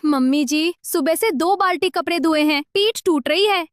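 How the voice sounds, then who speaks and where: A woman's voice: very high voice, normal speed, no room sound, clean studio sound. Woman voicing a weary young daughter-in-law. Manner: weary, pleading. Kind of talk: cartoon story dubbing.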